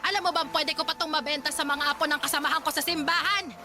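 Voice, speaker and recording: A woman's voice: high-pitched, steady tone, fast, natural voice. An actress playing a bitter, suspicious old Filipino grandmother who scolds and accuses in a Tagalog radio drama. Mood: accusing, scornful.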